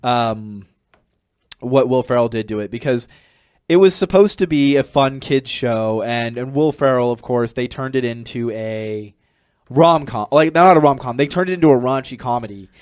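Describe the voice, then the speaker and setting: Man with halting delivery, close dry sound. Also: mid-range voice, darkish tone, smooth, no room sound. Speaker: podcast co-host. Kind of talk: casual chat.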